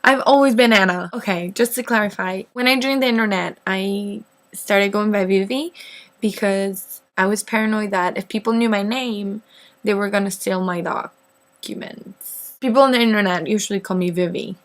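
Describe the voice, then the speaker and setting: A woman in her twenties who grew up in northeast Mexico with Spanish as her first language. She answers questions as a vlogger in a casual, rambling style, often poking fun at herself, with a medium-pitched voice. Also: normal speed. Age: 20s. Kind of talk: casual chat to camera.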